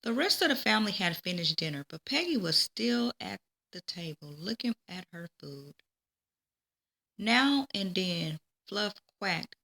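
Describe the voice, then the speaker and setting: A woman reading a children's storybook aloud, with expressive, deliberate phrasing. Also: medium pitch, gravelly. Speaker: storybook reader. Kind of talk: children's read-aloud narration.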